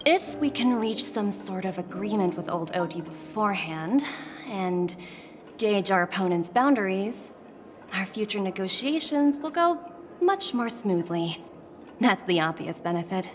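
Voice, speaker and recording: A woman acts out a young female executive from a video game. She speaks formally and politely with very lively intonation, sharp and quick to exasperation, over clear background music. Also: medium pitch, close to an everyday voice. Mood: formal, respectful. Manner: formal and deferential.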